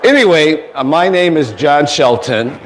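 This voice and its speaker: A man with a cold, his voice raspy, giving a formal, courteous welcome speech as chairman at an indoor event. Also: fairly high pitch, expressive, measured pace. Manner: courteous, thanking people one by one. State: getting over a cold. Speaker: foundation board chairman. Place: indoor museum event space. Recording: long hall reverb.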